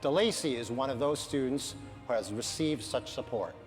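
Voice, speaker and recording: A middle-aged man, a university financial aid administrator, giving an earnest, measured prepared speech at a campaign event. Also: mid-range voice, long reverb tail, soft background music. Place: large event hall.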